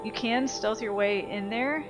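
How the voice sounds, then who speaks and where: A woman's voice: on the high side, a little slow. A woman giving casual commentary while she plays a video game. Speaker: gameplay streamer. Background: loud game music.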